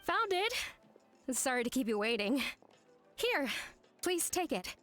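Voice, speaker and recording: A woman's voice: sits high, sing-song, unhurried, a bit bright, faint music behind, full character voice. A woman voicing a friendly, helpful young woman in a video game, polite in manner. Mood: helpful, upbeat.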